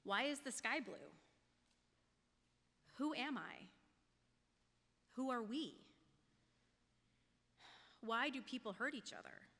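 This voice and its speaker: Bright-voiced woman preaching in a reverberant church. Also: a little high, animated, slow pace, long pauses. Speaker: lay speaker giving a sermon, a teacher. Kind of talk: prepared reflective sermon. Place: church sanctuary. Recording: long church hall reverb.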